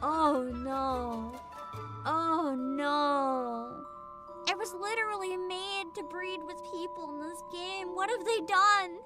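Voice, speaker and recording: A woman hosting a casual livestream chat, giggly, excitable and expressive, with music behind her. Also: very high-pitched, slow. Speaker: livestream host, VTuber.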